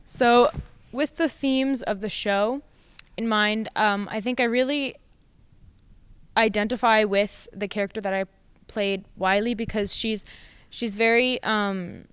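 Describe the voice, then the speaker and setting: A woman's voice: medium pitch, steady tone, a little slow, clear, resonant tone, no room sound. A young woman, a student actor, giving a casual answer in an interview. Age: young adult.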